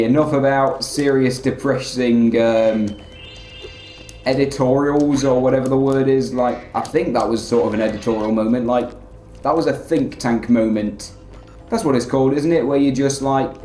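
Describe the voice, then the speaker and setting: A man giving rambling, filler-heavy let's play commentary in a flat, monotone way. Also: mid-range voice, roomy sound, slight background noise. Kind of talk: casual gameplay commentary.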